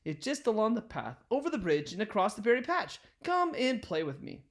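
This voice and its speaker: A man's voice: high-pitched, sing-song, a little fast, pitched above the performer's own voice. A man voicing a playful, carefree young rabbit in a children's storybook, bouncy and coaxing. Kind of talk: storybook character voice.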